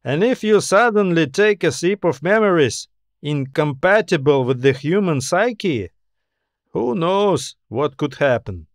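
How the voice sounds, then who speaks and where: A man acts out an elderly sci-fi audiobook scientist, pitched noticeably high and recorded dry. He explains patiently and philosophically, with polite composure and a wry streak. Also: lively intonation, a little slow, no room sound. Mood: wry, explanatory. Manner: thoughtful, philosophizing, gently explanatory. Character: old alien scientist, calm, courteous, wryly amused. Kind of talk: audiobook character dialogue.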